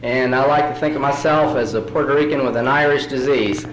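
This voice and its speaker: A man from New York City whose first language is Spanish talks to a meeting with folksy, self-deprecating humor. His voice sits at an ordinary pitch. The recording carries the echo of a large room. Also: steady tone, brisk, noisy background. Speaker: recovery meeting speaker. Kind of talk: storytelling talk at a recovery meeting. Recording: roomy hall recording.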